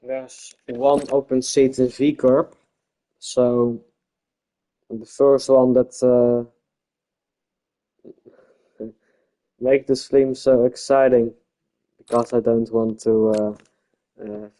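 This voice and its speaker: A Dutch-speaking man commentating a live-streamed online race league in English, halting and searching for words with many fillers. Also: medium pitch, little pitch movement, warm tone. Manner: calls himself a bit boring-voiced.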